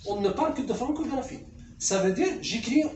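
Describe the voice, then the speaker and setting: A man's voice: very high-pitched, expressive, brisk, a little mellow. A man from Kabylia with an Algerian accent, a native Kabyle speaker, talking as an interviewed poet and explaining his points with repeated phrases. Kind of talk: conversational interview about writing. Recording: echoey room, distant mic, faint noise.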